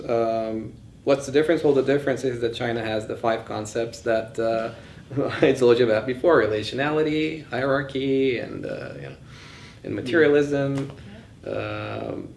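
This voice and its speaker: A man lectures informally in a medium-pitched voice, offering firm opinions as he thinks aloud. The room is echoey, with faint noise behind him. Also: creaky, a little mellow. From born in Bulgaria, grew up in several countries. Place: indoors in a session room.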